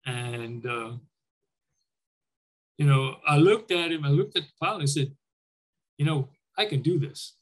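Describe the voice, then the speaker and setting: A man's voice: fairly high pitch, a little slow, long pauses. An older man, a military veteran, recalling his war service in an informal storytelling way, often voicing remembered dialogue. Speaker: military veteran, former Army air traffic controller, interviewee. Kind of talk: conversational war reminiscence.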